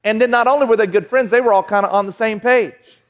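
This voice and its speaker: Man, Southern American accent, 40s, folksy conversational preacher, slightly high voice, echoing hall. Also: fast talker, highs cut off. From Southern United States. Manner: folksy, conversational, frequent restarts and asides to the crowd. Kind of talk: sermon to a live audience. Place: large hall with audience.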